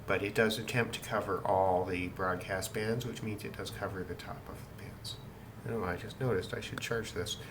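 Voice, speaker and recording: Guy, lowish voice, thinking out loud doing a casual demo at home, noisy recording. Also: steady tone, normal speed. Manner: matter-of-fact, thinking aloud. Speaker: radio hobbyist demonstrating a receiver. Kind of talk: casual unscripted demonstration narration. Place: indoors at home in a city.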